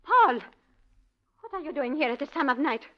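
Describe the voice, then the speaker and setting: A vengeful ex-dancer. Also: female voice, sits high, sing-song, normal speed. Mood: guarded. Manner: intense, rising to screams. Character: bitter former dancer, vengeful woman. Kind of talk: 1940s radio acting. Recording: dull old radio recording.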